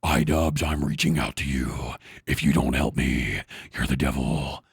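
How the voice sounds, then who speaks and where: Man doing a male YouTuber parody, extremely low voice, grave, pompous, menacing, melodramatic ultimatums, dry sound. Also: steady tone, a little slow, vocal fry, breathy edge, dry recording, exaggerated voice. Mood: grave menace.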